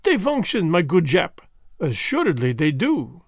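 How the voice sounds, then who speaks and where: A man's voice: fairly high pitch, huge pitch swings, unhurried, breathy edge, dry recording, clean studio sound, heavily acted. A man reading the part of a fussy, vain elderly Belgian detective who speaks precisely and drops French words. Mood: gently ironic.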